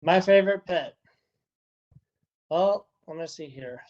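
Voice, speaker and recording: A man's voice: fairly high pitch, takes it slowly, a little mellow, lo-fi sound. Friendly rambling man on a video call. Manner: rambling, repeats and restarts words, friendly. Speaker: interviewee, first responder and dog handler. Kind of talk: casual interview answers.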